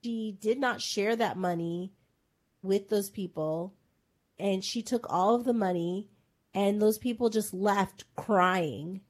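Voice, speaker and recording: A woman's voice: medium pitch, slow. A woman retelling a reality show in casual chat, rambling and full of filler words. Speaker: podcast co-host. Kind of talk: casual pop-culture chat.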